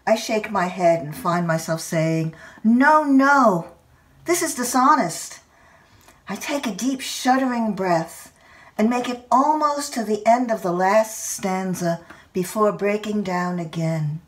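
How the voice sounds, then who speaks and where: An older woman reads a literary personal essay aloud in a measured, expressive storytelling manner. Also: mid-range voice, recorded from a distance. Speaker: writer reading own essay.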